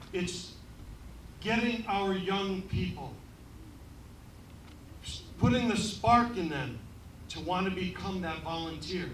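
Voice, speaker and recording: A man emceeing a ceremony, joking at his own expense and pausing often on fillers. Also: high voice, fairly bright tone, a little hiss or hum. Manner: joking, self-deprecating, halting with many fillers. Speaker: event emcee. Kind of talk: ceremony speech with jokes. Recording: echoey room, voice from far off.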